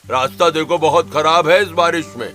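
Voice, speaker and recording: A man's voice: fairly high pitch, normal speed, sharp tone. A man voicing a kindly elderly father-in-law in a family cartoon, with a reassuring tone.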